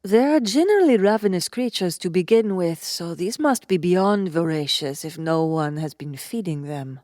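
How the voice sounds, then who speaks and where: A woman's voice: lowish voice, lively intonation, darkish tone, no echo, studio quality, lightly acted. A woman playing a cool, deadly female warrior, speaking formally and matter-of-factly in a cinematic audiobook. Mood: grave, informative. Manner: formal, measured, matter-of-fact.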